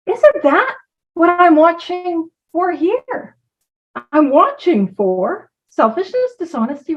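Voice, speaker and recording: A woman's voice: high voice, recorded from a distance, highs cut off. A woman giving an informal talk about recovery, chatty and self-deprecating, who drifts from point to point. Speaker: recovery program speaker.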